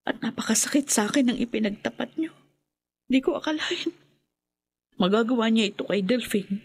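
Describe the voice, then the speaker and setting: An actress with a Filipino accent playing an anguished, bereaved wife in a melodramatic radio horror drama, her voice husky. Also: a little high, animated, moderate pace, full character voice. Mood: hurt disbelief.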